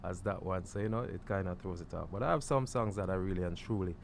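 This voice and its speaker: A man co-hosting a radio talk show, easygoing and quick to agree. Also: low-pitched, vocal fry, a little hiss or hum. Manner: easygoing, agreeing often, repeats himself. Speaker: radio co-host.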